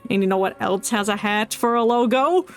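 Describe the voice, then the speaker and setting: A woman's voice: mid-range voice, a little fast. A woman streaming a game with casual, joking live commentary and playful reactions. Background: faint game music.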